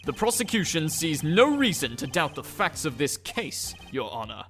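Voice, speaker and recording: A man's voice: a little high, big pitch swings, normal speed, a bit bright, faint music behind. A man voicing a formal, self-assured, pompous prosecutor in a courtroom game fandub. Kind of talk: game fandub character acting.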